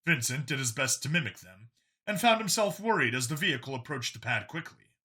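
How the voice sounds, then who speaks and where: A man's voice: mid-range voice, big pitch swings, fairly quick, pushed and bright, husky. A man reading scripted fiction as an audiobook narrator.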